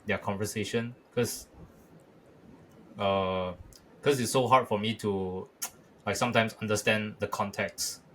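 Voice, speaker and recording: A man's voice: lowish voice, fairly even intonation, unhurried, long pauses, a bit bright, a little hiss or hum. A man with a Malaysian English accent, talking hesitantly on a podcast with many "you know" fillers. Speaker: podcast co-host. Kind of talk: casual chat.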